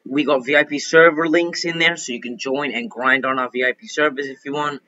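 Bright-voiced male gamer, slightly dull recording. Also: medium pitch, fairly even intonation, a little fast. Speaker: gaming video host. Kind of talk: casual gameplay commentary.

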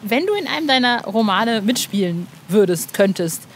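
A woman's voice: medium pitch, expressive, moderate pace, slight background noise. A woman hosting a podcast interview in an informal, chatty way, asking questions like a fan. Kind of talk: casual conversational interview.

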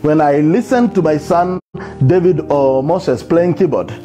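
A man's voice: a little high, a little slow, mellow, soft background music. A man, preaching a sermon and speaking to listeners as child of God. He talks on a lapel mic in an indoor studio. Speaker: pastor. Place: indoor studio set before a plain wall.

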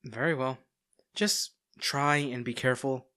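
A man's voice: medium pitch, a little slow, sharp tone, reverberant, big-room sound. A man playing a stern, exasperated bodyguard with secret ill intent in a scripted audio roleplay. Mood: polite, reserved. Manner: polite to her, muttering dryly in asides. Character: stern, exasperated young male bodyguard secretly plotting against his charge.

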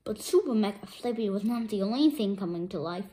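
A woman reading a children's comic book aloud as an expressive storyteller. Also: medium pitch, a little fast. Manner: expressive, voicing sound effects.

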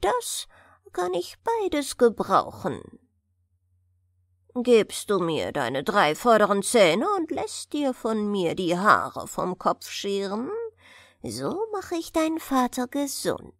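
A sly little old gnome of a doctor from a storybook, acted out by a woman. The voice swings widely up and down and moves slowly, with a friendly, bargaining manner. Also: mid-range voice, huge pitch swings, fairly bright tone, dry recording, clean studio sound, full character voice. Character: tiny wrinkled old male fairy-tale doctor, sly, friendly. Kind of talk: storybook character voice.

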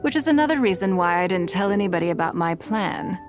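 A woman voicing a calm, shrewd, wealthy noblewoman in a game, speaking in a measured, commanding way, with music behind her. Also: on the low side, natural voice. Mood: reflective. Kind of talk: game dialogue voice acting.

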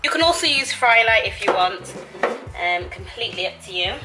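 Young female cooking vlogger, casual and playful, clear music and pan sizzle behind her. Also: medium pitch, expressive, brisk. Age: young adult. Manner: chatty, informal, playful asides. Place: home kitchen, indoors. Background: music, sizzling food, stirring.

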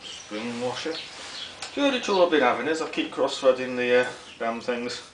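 A man's voice: medium pitch, expressive, moderate pace. A British man giving a casual, jokey assembly commentary, muttering to himself as he works in a garage workshop. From United Kingdom. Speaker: vlogger. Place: indoors in a concrete-floored garage workshop. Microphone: camera mic a short distance away. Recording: roomy, distant mic, very noisy with clicks.

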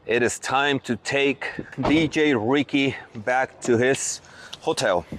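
A man's voice: medium pitch, slow, noisy background. A man hosting a casual food vlog from a restaurant table, easygoing and repeating words as he thinks aloud. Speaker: vlogger host.

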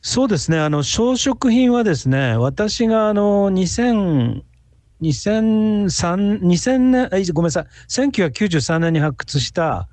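A male researcher explains his work as a guest on a radio interview. His voice sits somewhat high and swings expressively in pitch. The sound is dry and close, and his manner is polite. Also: lively intonation, unhurried, a little mellow, no echo.

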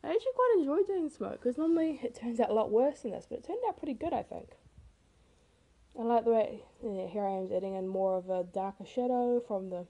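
A teenage girl with a rough, hoarse voice, casually narrating her own speed painting in a chatty, self-deprecating way. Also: a little high, animated, a little fast, dark tone. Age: teens. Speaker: art vlogger. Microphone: camera mic.

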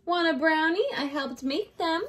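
A woman's voice: very high-pitched, normal speed, fairly bright tone, pitched above the performer's own voice. A woman voicing a young girl dinosaur from a storybook, sheepish and eager for friends, in a plaintive singsong. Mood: hopeful.

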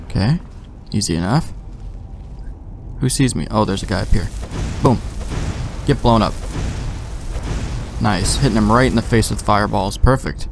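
Low, slightly creaky young gamer. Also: male voice, moderate pace, pauses often, noisy background. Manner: offhand, slangy, talking to himself. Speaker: gamer, let's play host. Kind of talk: casual video game commentary.

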